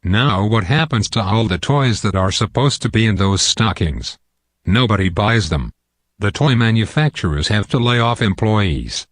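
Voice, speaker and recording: Man playing shrewd low-voiced political adviser. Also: expressive, moderate pace, dry recording, clean studio sound. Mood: urgent, persuasive. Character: shrewd, blunt political adviser and old friend. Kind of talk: radio play acting.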